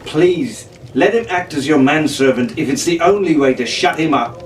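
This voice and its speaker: A man's voice: fairly high pitch, lively intonation, normal speed, lightly acted. A man voicing a cocky, bossy teenage pirate captain in an audiobook, with brash, commanding delivery. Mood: whining. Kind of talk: audiobook character voice. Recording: roomy, noisy, distant microphone.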